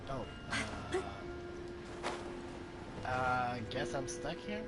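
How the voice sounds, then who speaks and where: A man's voice: medium pitch, big pitch swings, very slow, loud music behind the voice. A man giving casual, hesitant gameplay commentary as a streamer, often searching for words. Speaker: game streamer. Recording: clearly noisy home recording with ambience.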